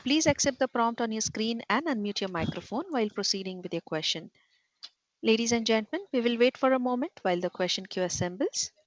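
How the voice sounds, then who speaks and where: A woman's voice: a little high, expressive, fairly quick, slight background noise. A woman reading scripted announcements as a conference call operator. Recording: dull conference call audio.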